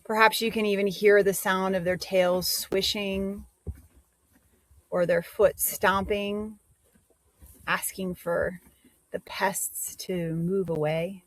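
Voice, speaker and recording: A woman's voice: mid-range voice, steady tone, dry recording, poor audio. Woman leading a guided meditation. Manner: gentle instructive phrasing with pauses.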